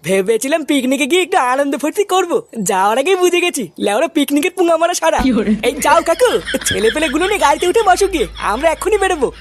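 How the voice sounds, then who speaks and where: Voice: high voice, lively intonation, rapid-fire, a little hiss or hum. A performer voicing a bossy, practical male gang leader in a comedy cartoon, handing out orders. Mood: placating. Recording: music behind the voice. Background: background music.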